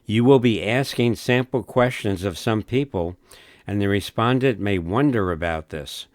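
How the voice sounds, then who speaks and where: A man narrating a scripted instructional film in a measured, instructive manner. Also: fairly low pitch, no echo, studio quality.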